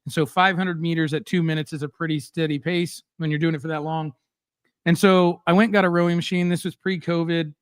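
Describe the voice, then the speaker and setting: A middle-aged man hosts a casual live stream, talking bluntly and with plenty of animation, throwing in fillers as he goes. His voice sits a little high for a man. The sound is dry and close. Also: fairly even intonation, fairly quick, clear, resonant tone, dry recording. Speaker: host.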